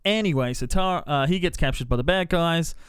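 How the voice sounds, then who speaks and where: A man's voice: fairly high pitch, fairly quick, fairly bright tone, clean tone, no echo, studio quality. A man hosting a podcast, giving a casual, sweary spoken review and acting out bits of dialogue as he goes. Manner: sweary, mimics characters in quotes. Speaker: podcast host reviewing films. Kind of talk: casual spoiler-filled movie review chat.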